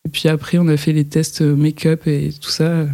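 A woman's voice: sits low, flat delivery, normal speed, warm tone. A woman, a fashion model, talking casually in an interview, hesitant and full of fillers and restarts.

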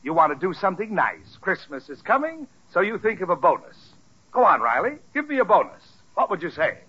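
A condescending big boss from a 1940s radio comedy. The voice is high for a man, forceful and sweeping in pitch. He is pompous and theatrical, talking people round with false heartiness on a muffled old recording. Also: big pitch swings, fairly quick, breathy edge, heavily acted. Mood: smoothly persuasive. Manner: pompous, theatrical, jovially persuasive. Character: hearty, wily, condescending male company boss. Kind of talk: 1940s radio sitcom acting.

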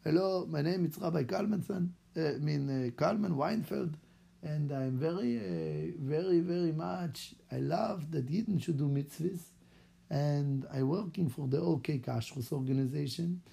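A man's voice: a little high, lively intonation, a little slow, gravelly, warm tone, husky, exaggerated voice. A man putting on an eager Israeli rabbi. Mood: earnest. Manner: eager, chatty storytelling in broken English. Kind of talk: acted-out story for children.

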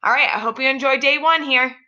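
A woman hosts her own fitness podcast, talking listeners through the basics in an earnest, encouraging way. Her voice sits high and very bright, with a slight rasp. The recording sounds dull and lo-fi. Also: a little fast. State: slightly raspy voice from allergies. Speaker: podcast host and pelvic floor fitness coach. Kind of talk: solo instructional podcast.

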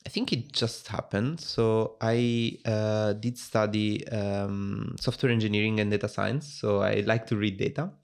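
A young man with an Italian accent talks through his story in a relaxed interview. His voice sits low, and he thinks aloud with plenty of ums and self-corrections. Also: unhurried, vocal fry, hardly any pauses. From Italy. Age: 20s. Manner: thoughtful, with frequent fillers and restarts. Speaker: guest. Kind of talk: casual interview chat.

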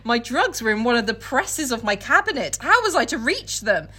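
A woman's voice: a little high, very animated, moderate pace, pushed and bright, slightly rough. A woman podcast co-host mixing casual, comic chat with dramatic book readings, giggly, irreverent and free with swearing.

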